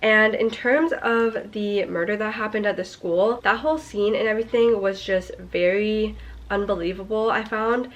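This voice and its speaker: A young woman reviewing a book in a casual vlog, her voice stuffy and nasal from a cold. She rambles and sprinkles in "like" as she picks apart the plot. Her pitch sits in an ordinary middle range. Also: fairly even intonation, normal speed, lo-fi sound. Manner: chatty, critical, heavy use of "like". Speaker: book vlogger.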